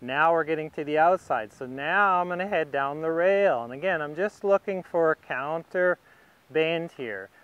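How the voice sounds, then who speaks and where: Clear-voiced man calmly teaching horse riding. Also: a little high, moderate pace, highs cut off. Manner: calm, patient, explaining step by step. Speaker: riding instructor. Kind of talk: instructional demonstration narrated while riding.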